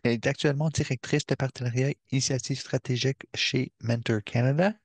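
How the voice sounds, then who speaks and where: A man of mid pitch hosts an online webinar. He introduces the session in a measured way with an occasional pause, and the recording is close and dry with no room sound. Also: steady tone, no room sound. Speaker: webinar host.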